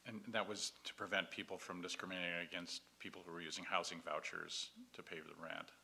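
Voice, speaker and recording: Male city official, deep but very forceful, sharp tone, matter-of-fact in discussion. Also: flat delivery, a little fast, gravelly. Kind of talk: explanatory meeting discussion. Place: council meeting room. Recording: faint hum on meeting audio.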